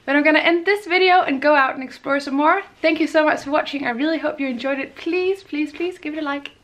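A woman's voice: high voice, quick pace, fairly bright tone. A woman, chatting casually to a handheld camera for a travel vlog. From Denmark. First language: Danish. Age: 20s. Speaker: travel vlogger. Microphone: handheld camera at arm's length.

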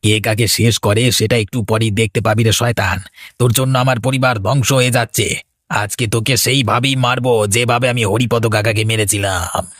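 A man's voice: lowish voice, fairly even intonation, normal speed, a bit bright, no echo, studio quality. A man playing a scheming, threatening village villain in a horror cartoon, with theatrical delivery. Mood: menacing. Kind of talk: Bengali horror cartoon dialogue.

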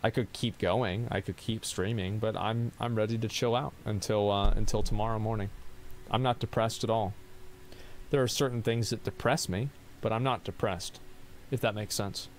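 Tired monotone man, rambling. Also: on the low side, moderate pace, slight background noise, low-quality recording. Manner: rambling with many fillers and restarts. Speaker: streamer. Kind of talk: casual chat reading viewer questions.

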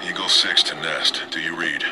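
An actor playing an earnest, determined soldier in a video game, speaking in clipped, urgent military style. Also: male voice, very deep voice, fairly even intonation, normal speed, background noise. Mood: grave, measured. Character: earnest, determined male soldier and police officer in action. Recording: game clips under loud music.

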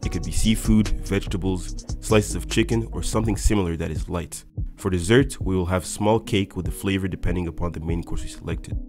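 Deep-voiced young man over loud music. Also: a little fast, hardly any pauses. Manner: upbeat, explanatory, addresses viewers directly. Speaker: airline pilot and video host. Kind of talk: scripted voice-over narration.

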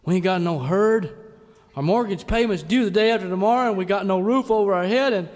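An actor playing a folksy, gee-whiz cowboy sidekick in a parody radio serial. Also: male voice, sits high, fairly quick, darkish tone, close to an everyday voice. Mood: gloomy. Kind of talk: parody of old-time radio serial acting. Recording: reverberant live hall.

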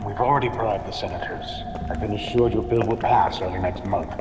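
An actor playing a smooth, deferential male secret society conspirator in a game cutscene, formal and brisk. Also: lowish voice, natural voice. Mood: confiding. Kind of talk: video game cutscene acting. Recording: echoey hall under music.

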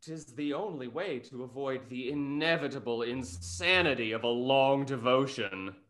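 An actor playing a witty, snarky young London gentleman in a stage comedy, wry and ornate, over a video call. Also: medium pitch, normal speed, close to an everyday voice. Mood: arch, teasing. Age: young adult.

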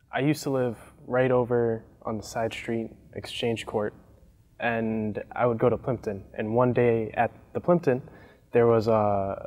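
A man's voice: fairly low pitch, a little mellow, in a large hall. A young man telling a plain story about his childhood on a studio panel. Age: young adult. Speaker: club alumnus panelist. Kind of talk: panel interview.

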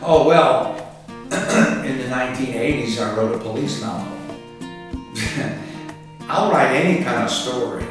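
A man in his sixties giving casual interview answers in a rambling, halting way, often repeating words. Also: medium pitch. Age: 60s. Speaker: author and martial arts instructor being interviewed. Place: indoor room by a curtained window. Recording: echoey room, distant mic, music underneath. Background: background music.

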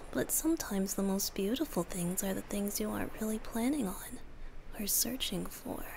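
A woman voicing a youthful male wind-spirit bard, soft, gentle and teasing, often breathy, in a romantic ASMR roleplay. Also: fairly high pitch. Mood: wistful, fond.

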